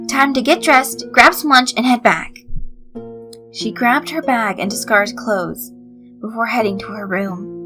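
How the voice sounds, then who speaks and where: A woman reading a scripted story as narrator, in an expressive storytelling style. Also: mid-range voice. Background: light background music.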